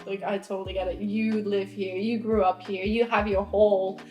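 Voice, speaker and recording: A woman's voice: medium pitch, little pitch movement, moderate pace, mellow, reverberant, faint music behind. A young woman from Europe chatting casually, giggling often as a vlogger. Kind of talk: casual chat.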